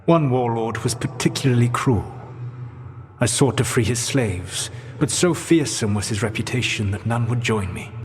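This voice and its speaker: An actor playing a grave, regretful ancient elven god in a video game, speaking in a measured, formal, solemn way. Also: male voice, medium pitch, lively intonation, faint music behind, close to an everyday voice. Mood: grim, haunted. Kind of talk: dramatic video game character dialogue. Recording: long echoing reverb on the voice.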